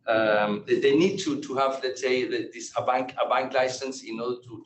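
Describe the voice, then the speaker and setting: A man answering as an executive on an earnings call, haltingly, repeating and restarting his words often. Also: medium pitch. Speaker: company executive answering analysts. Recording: roomy echo, background noise, conference call.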